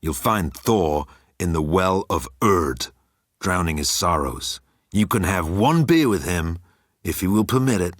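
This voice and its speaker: A man's voice: low-pitched, lively intonation, a little slow, dry recording, clean studio sound. A man voicing a pompous, self-pitying god who guards a bridge, easily offended and declamatory, in an audiobook. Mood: grudging.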